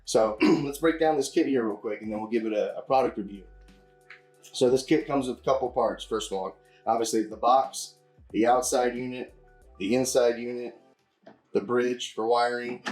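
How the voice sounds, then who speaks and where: A man's voice: medium pitch, moderate pace, a little mellow, soft background music. A man reviewing a product in a casual, hands-on demonstration, explaining informally and often repeating himself.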